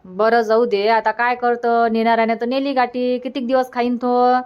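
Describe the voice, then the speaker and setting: Woman playing a resigned old village mother, high-voiced. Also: level tone, normal speed, clear, resonant tone, muffled recording, lightly acted. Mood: resigned, placating. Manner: plain, placating, resigned. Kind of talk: Marathi cartoon comedy dubbing.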